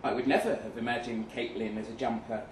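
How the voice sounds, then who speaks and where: A man reading a first-person short story aloud dramatically, with measured delivery and deliberate pauses. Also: mid-range voice, steady tone. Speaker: short story reader at a live event. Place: event room in a pub. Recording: roomy, distant mic with faint noise.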